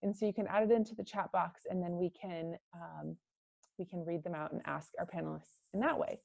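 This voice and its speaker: A woman's voice: lowish voice, steady tone, a little fast, gravelly, darkish tone, no room sound. A woman hosting a virtual career panel, talking in a friendly way with many fillers. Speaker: host, career center manager. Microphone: video call.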